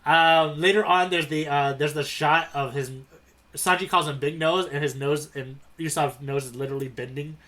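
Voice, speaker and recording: A man's voice: on the high side, steady tone, moderate pace, pushed and bright. A man co-hosting a podcast in casual, playful, jokey banter about manga. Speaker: podcast co-host. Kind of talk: casual comedic manga discussion.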